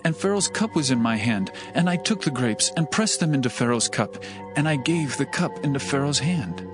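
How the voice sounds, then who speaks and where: A man playing a deferential chief butler to Pharaoh, recounting in formal, measured archaic language for a Bible audio drama. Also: mid-range voice, expressive, music in the background, natural voice. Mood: earnest recounting.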